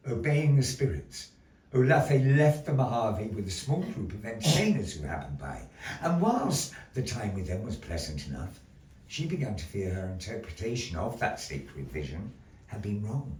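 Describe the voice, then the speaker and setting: A man narrating a story theatrically as a live storyteller, declamatory and full of weighty pauses. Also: on the low side, darkish tone. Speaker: storyteller, puppet show narrator. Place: indoor gallery performance space. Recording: echoey gallery room, distant mic.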